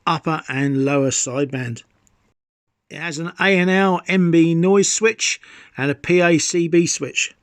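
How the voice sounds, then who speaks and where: Middle-aged man, slightly raised pitch, savouring enthusiastic delivery, exclamatory, hobby presenter, very dry sound. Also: dry recording. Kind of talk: enthusiastic product showcase narration.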